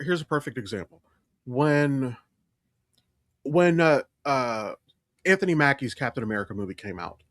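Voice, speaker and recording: A man's voice: mid-range voice, no echo. Blunt man chatting, close dry sound. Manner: blunt, profane, opinionated. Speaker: podcast co-host. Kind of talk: casual chat, film commentary.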